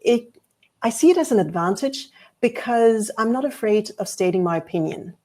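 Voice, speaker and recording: A woman's voice: on the high side, expressive, moderate pace, mellow. A woman from Denmark giving a frank, structured keynote talk with light humor, over a video call. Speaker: award-winning speaker.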